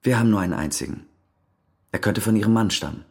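A suspicious police detective in a radio thriller. His voice is lowish and husky with plenty of fry, and he speaks in a dry, factual way. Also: quick pace. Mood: businesslike. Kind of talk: radio crime drama acting.

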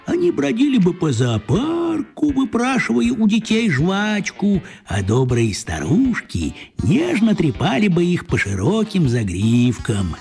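A slow, musing old wizard. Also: male voice, wildly swooping pitch, gravelly, darkish tone, faint music behind, heavily acted. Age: older. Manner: slow, musing, swooping pitch. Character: kindly old male wizard posing as postman. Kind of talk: radio play acting.

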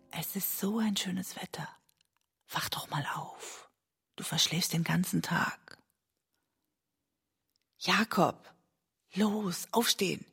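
Husky, weary woman in love. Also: fairly low pitch, a bit bright, no echo, studio quality, exaggerated voice. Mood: coaxing. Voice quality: husky, breathy. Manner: intimate, restrained, literary narrating. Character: weary, emotionally raw woman, lover of a troubled writer. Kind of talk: radio drama narration and dialogue.

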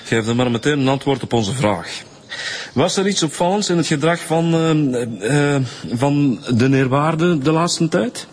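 An actor with a Flemish accent playing a wry, informal police detective in a radio drama, colloquial and questioning. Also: male voice, fairly high pitch, animated, measured pace, slightly rough.

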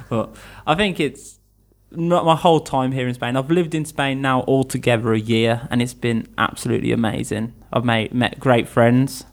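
Young man, from England, English teacher, casual chat, mid pitch, frequent laughs. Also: fairly even intonation, moderate pace, creaky, hardly any pauses. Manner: chatty, laughs easily, lots of restarts.

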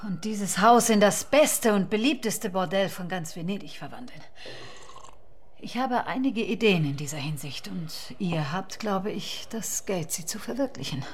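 A woman's mid-pitched voice , an actress's, plays a seductive, shrewd madam in a radio drama. She speaks with pauses and a soft teasing manner, over faint ambient noise. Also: lively intonation, lightly acted. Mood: sly, inviting. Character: shrewd, seductive brothel madam with business plans.

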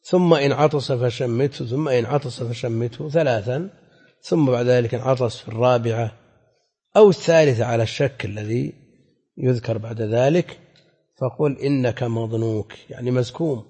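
Husky man lecturing in an echoey hall. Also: medium pitch, normal speed, mellow. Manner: didactic, conversational, often posing questions. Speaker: Islamic scholar teaching a hadith class. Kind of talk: explanatory religious lecture with questions to students. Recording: echoey hall with long reverb.

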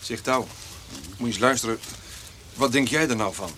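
An actor playing an excitable, plain-spoken farmer who repeats words in bursts in a radio drama. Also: male voice, mid-range voice, moderate pace, lightly acted. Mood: eager, chatty. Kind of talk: naturalistic radio drama acting. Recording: noisy radio play recording.

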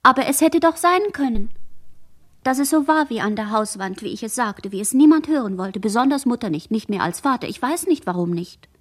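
A traumatized girl in a literary radio drama. Her voice is high and breathy. She recites memories in dreamy, incantatory fragments, as if barely conscious. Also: expressive, brisk. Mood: brooding. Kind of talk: literary radio play monologue.

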